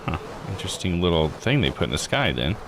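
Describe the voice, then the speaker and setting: A man's voice: sits low, big pitch swings, fast, noisy background. A man doing casual let's play commentary as a game streamer, thinking aloud in folksy, slangy phrases. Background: game music.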